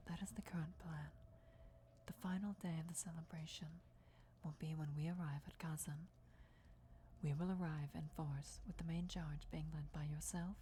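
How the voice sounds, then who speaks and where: Woman as female vampire emissary for ASMR roleplay; low-leaning, mellow, breathy whisper, formal and reverent. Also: a little hiss or hum. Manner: hushed, formal, measured, reverent. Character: deferential female vampire emissary briefing her lord before war.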